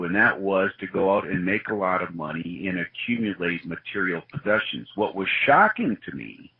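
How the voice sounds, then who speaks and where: A man with a low, husky voice, from Wisconsin, shares his story with an earnest, reflective tone. The recording is dull and lo-fi. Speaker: guest, organization CEO. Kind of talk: personal testimony in an interview. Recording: muffled lo-fi sound.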